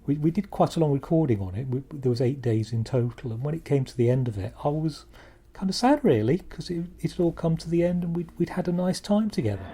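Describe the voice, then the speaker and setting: A man's voice: fairly high pitch, expressive, quick pace, warm tone, husky. A British man, a producer, talking effusively and in a heartfelt way in an interview. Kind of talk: interview talk.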